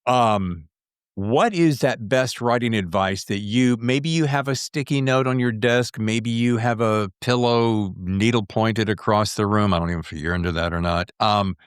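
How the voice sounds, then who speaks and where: A man's voice: lowish voice, normal speed, smooth, no room sound, clean studio sound. A man hosting a casual podcast interview, rambling chattily and acting out his own thoughts as he talks. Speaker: podcast host.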